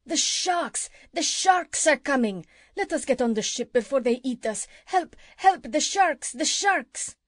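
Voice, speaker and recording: A woman's voice: high voice, animated, unhurried, hardly any pauses, no echo, studio quality, voice pushed up. A woman doing shrieking, panicked pirates. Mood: terrified. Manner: panicked shouting. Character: terrified male pirates crying for help.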